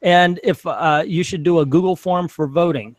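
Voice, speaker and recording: Male guest, country accent, slightly high voice, dry close sound, meandering delivery. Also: no room sound. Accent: American country, mixed with Chicago. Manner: rambling, drawn-out vowels, many fillers. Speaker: guest and fellow streamer. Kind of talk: casual online discussion.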